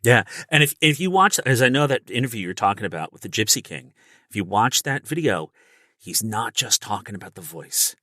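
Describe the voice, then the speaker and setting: A man with a fairly low, bright and forceful voice, recorded close and dry. He talks like a guest coach on a podcast, explaining his craft in an animated, instructive way. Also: fairly quick, no room sound.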